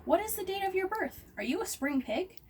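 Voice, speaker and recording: A female spider from a children's storybook, voiced by a woman reading aloud. She is courteous and dry, with measured phrasing. The sound is distant, with noise around it. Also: very high-pitched, sing-song, voice pushed up. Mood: polite. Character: polite, wry, weary female spider friend. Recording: distant microphone, noisy room ambience.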